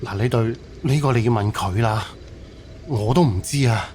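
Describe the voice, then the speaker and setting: A man's voice: medium pitch, normal speed, darkish tone, a little hiss or hum, close to an everyday voice. A man playing an earnest, helpful amateur sleuth in an audio drama, talking casually and persuasively. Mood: candid. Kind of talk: Cantonese audio drama acting.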